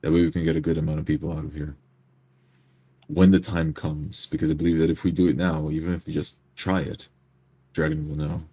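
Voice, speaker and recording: A man's voice: rumbling low, little pitch movement, fairly quick, mellow, husky, no echo. A man playing a grim, pragmatic fantasy adventurer in a tabletop game, plain-spoken and hesitant. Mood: resolute, wary.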